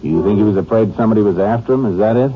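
Man acting a low-voiced, terse Texas Ranger, tinny old radio. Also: racing, natural voice. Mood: probing, calm. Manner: terse, businesslike questioning. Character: calm, persistent male Texas Ranger investigating a murder. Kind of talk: old-time radio crime drama acting.

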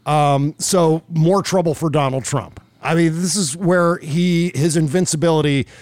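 American man, slightly high-pitched, dry close sound. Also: fairly even intonation, a little slow, darkish tone, no echo. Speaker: podcast host. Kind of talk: casual political commentary.

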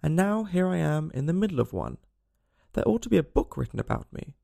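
A man's voice: fairly high pitch, sing-song, a little fast, gravelly, dark tone, heavily acted. A man voicing a puzzled young girl who muses wistfully and chides herself, in a storybook reading. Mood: wistful musing. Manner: wistful, self-chiding.

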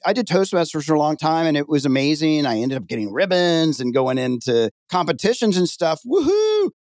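A man hosting a podcast interview, animated and jokey with a comic's timing. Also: a little high, brisk, no room sound, clean studio sound. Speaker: podcast host.

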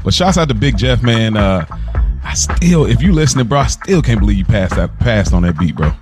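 A man co-hosts a casual podcast, and his voice swings widely in pitch. He jumps in with quick reactions. Clear music plays underneath. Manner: lively, reacts with quick exclamations. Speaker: podcast co-host.

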